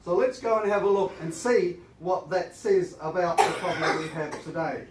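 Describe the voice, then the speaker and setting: A man with a New Zealand accent, an auctioneer with a croaky voice, reading formal auction terms briskly and then selling the property with enthusiasm. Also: a little high. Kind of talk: formal auction preamble and sales pitch. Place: auction room with audience. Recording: echoey room, mic at a distance, faint noise.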